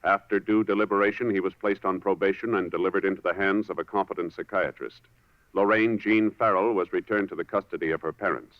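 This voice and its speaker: A man reading formal, official scripted narration as a 1950s radio announcer in a measured way. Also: low voice, steady tone, no echo. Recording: muffled 1950s radio recording. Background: orchestral music.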